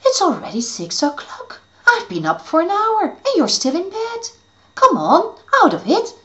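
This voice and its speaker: A woman's voice: very high voice, huge pitch swings, normal speed, a bit bright, voice pushed up, heavily acted. A woman voicing a small, bossy and impatient female fairy.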